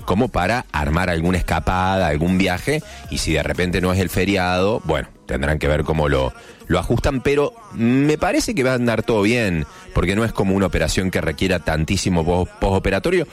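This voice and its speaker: A man's voice: sits low, animated, a little fast. A man from Argentina with a Córdoba accent, hosting a casual morning radio show with chatty, joking asides. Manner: chatty, jokey asides, playful mock voices. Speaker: radio host.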